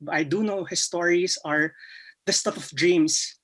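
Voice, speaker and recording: A man from the Philippines with a Filipino accent, giving a timed practice answer for a speaking exam, with frequent pauses and restarts. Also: high-pitched, fairly even intonation, fairly bright tone. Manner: hesitant, many fillers and restarts. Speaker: IELTS student.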